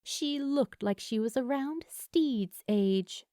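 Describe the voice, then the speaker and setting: Slow, expressive woman narrating, close and dry. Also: high-pitched, dry recording. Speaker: fan fiction narrator. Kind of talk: scripted story narration.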